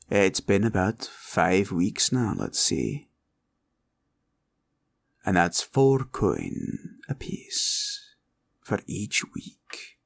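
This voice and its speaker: A menacing green dragon for a roleplay audio. He speaks very slowly in a lowish, gravelly voice, grumbling and gloating over his hoard like a greedy old haggler. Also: heavily acted. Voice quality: gravelly, creaky. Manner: slow, drawling, grumbling and haggling. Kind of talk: roleplay audio villain acting.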